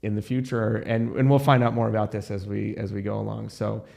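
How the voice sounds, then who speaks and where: A man's voice: fairly low pitch, level tone, fairly quick, creaky, a little mellow. A man hosting an interview in a studio, chatty and enthusiastic, with rambling introductions. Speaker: rabbi and podcast host. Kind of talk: casual interview chat. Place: recording studio.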